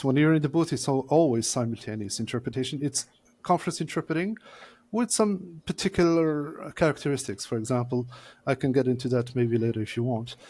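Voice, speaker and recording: A man's voice: medium pitch, darkish tone. Male guest, mid-range voice, patient and explanatory, hesitant fillers. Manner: measured, explaining step by step with frequent "uh" pauses. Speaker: court interpreter, guest. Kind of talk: explanatory interview answers.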